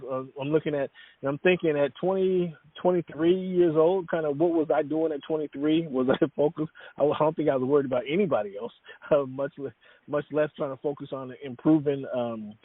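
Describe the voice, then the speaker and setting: A man's voice: fairly high pitch, no echo. Rambling man on a tinny phone line. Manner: rambling, many fillers and restarts. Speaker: guest, sports writer. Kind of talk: casual interview talk.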